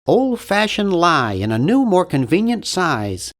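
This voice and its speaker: A young, timid nerd from a cartoon adventure game. His pitch sits high for a man and swings widely from phrase to phrase. He sounds prim and bookish, with a wry, fussy edge. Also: big pitch swings, unhurried. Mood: wry, matter-of-fact. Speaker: game character voice actor.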